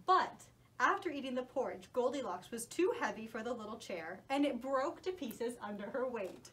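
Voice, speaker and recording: A woman narrating a children's story as a puppet show host, animated and reassuring. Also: fairly high pitch, normal speed, slight background noise.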